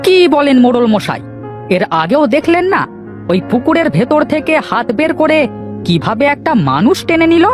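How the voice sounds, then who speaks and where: Man putting on an excitable, high-pitched fearful steward. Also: moderate pace, over music. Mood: alarmed, insistent. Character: fearful, superstitious village steward, deferential and excitable. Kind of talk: Bengali cartoon voice acting.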